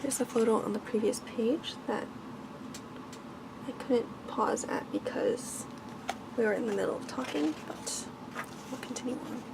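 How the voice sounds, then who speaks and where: A woman narrates a bedtime story in a soft, near-whispered ASMR voice. Her tone sits slightly high and carries a strong creak at the edges. She reads gently and carefully, with noticeable background noise on the recording. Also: unhurried, pauses often.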